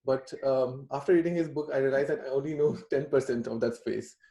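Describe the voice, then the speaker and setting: A man's voice: mid-range voice, fairly even intonation, brisk, creaky, dark tone. A man co-hosting a podcast who talks in an eager, enthusiastic way. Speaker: podcast co-host. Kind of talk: casual podcast chat. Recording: echoey room.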